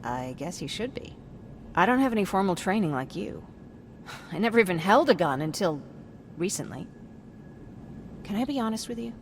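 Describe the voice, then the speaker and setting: Defiant female scavenger: emotional, mid-pitched, very animated delivery, post-apocalyptic game cutscene. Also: normal speed, long pauses, slight background noise. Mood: subdued, uneasy. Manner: blunt, emotional. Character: fiery, defiant female civilian scavenger survivor. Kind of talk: video game dramatic acting.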